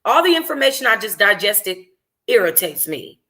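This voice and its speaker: A woman reading a first-person novel aloud informally as a book club host, in an expressive, conversational, slangy way. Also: mid-range voice, moderate pace.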